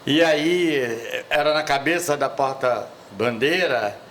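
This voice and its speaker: An older man in the audience asks a long, rambling question at a panel talk, reminiscing and pausing to search for words. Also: mid-range voice, fairly bright tone, a little hiss or hum. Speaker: audience member asking a question. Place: lecture hall at a public event.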